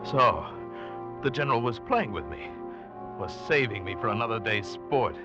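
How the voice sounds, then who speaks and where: A man's voice: on the low side, lively intonation, moderate pace, lightly acted. An actor playing a hunted man who narrates his desperate survival with intense, suspenseful delivery in 1940s radio drama style. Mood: fearful, urgent. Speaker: narrator and protagonist. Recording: dull vintage radio recording, music behind. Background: dramatic music.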